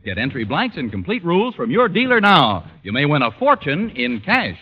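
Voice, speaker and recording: American male announcer, vintage radio ad read, slightly high husky voice, hugely animated, reverberant old recording. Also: normal speed. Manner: emphatic, polished sales delivery. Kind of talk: 1940s radio commercial announcing. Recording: dull old radio broadcast, long reverb.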